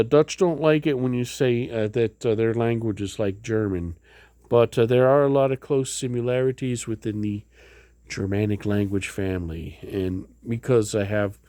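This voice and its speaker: A man's voice: mid-range voice, darkish tone, dry recording, clean studio sound. A man casually showing items in a home vlog, rambling with many fillers and restarts. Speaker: vlogger. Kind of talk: casual show-and-tell vlog.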